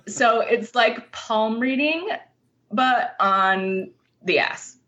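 A woman's voice: on the high side, extremely slow, a bit bright, highs cut off. A woman speaking as an interview guest, a writer talking in an earnest, informal and reflective way. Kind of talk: casual conversational interview.

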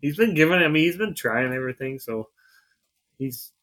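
A man's voice: a little high, expressive, moderate pace, a bit bright. A man co-hosting a podcast, chatting about his week in an easygoing, rambling way and trailing off his sentences. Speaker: podcast co-host. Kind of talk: casual chat.

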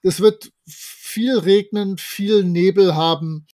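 A man with a notably high voice talks casually as a podcast co-host. He reviews things in a playful, tongue-in-cheek way, as if chatting with a friend. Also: slow, darkish tone. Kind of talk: casual chat reviewing an audio drama.

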